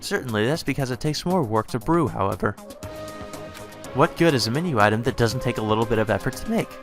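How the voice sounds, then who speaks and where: A man voicing a haughty, spoiled young woman with prim, formal diction and a sharp temper, in a visual novel. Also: medium pitch, expressive, fast talker, faint music behind, natural voice. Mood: haughty, lecturing. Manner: prim formal diction that snaps into foul-mouthed commands.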